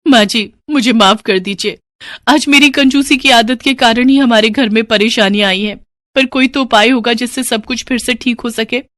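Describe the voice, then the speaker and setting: Woman acting a shrill, stingy young daughter-in-law. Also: moderate pace, a bit bright, no room sound, clean studio sound, natural voice. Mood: remorseful, pleading. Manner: scolding, emphatic, theatrical. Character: stingy, sharp-tongued young Indian daughter-in-law, demanding wife.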